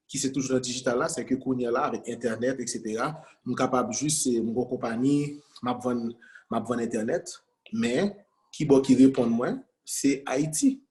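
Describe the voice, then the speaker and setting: A man talks through his ideas in a loose, conversational interview style. He restarts phrases and repeats single words over and over while searching for them. His voice sits in a middling register, neither high nor low. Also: darkish tone. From Haiti. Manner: repeats words while searching, switches between Creole and French. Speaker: guest entrepreneur.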